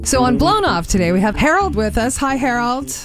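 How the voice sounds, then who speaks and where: Animated high-voiced woman radio host. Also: a little fast. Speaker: radio morning show host. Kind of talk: lively radio chat. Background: music bed.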